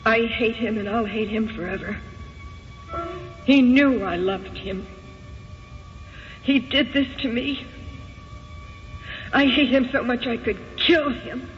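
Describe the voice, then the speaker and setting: An American woman playing a wounded, evasive wife haunted by lost love, speaking in an emotional, halting way in an old-time radio drama. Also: a little high, faint music behind. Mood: bitter, anguished. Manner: emotional, halting, old-fashioned genteel exclamations. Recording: dull, noisy old radio recording.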